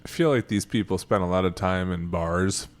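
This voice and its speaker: A man's voice: sits low, normal speed. A young man co-hosting a casual podcast, teasing the others with wisecracks. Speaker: podcast co-host.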